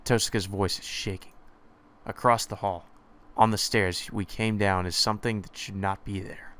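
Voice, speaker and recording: A man's voice: fairly low pitch, fairly bright tone, clear, resonant tone. A man narrating a visual novel aloud in the first person, reading steadily with small stumbles. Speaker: let's play narrator.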